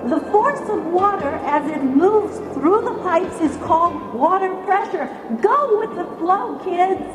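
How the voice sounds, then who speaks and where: A woman playing a brisk, chirpy, eccentric schoolteacher in a children's audiobook, with deliberate, commanding enunciation. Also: very high voice, lively intonation, a crowd in the background, noisy background. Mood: cheerfully commanding. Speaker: teacher character in children's read-along.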